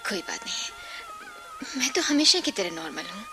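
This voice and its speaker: A woman's voice: medium pitch, sing-song, moderate pace, over music, close to an everyday voice. An actress playing a weary, proud elder sister who carries her household, in a Hindi radio play. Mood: melancholy.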